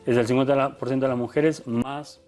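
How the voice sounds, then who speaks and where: Thoughtful Argentine man, softened accent. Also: medium pitch, steady tone, moderate pace, faint music behind. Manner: thoughtful, many restarts and tag questions. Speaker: photographer guest. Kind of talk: conversational interview.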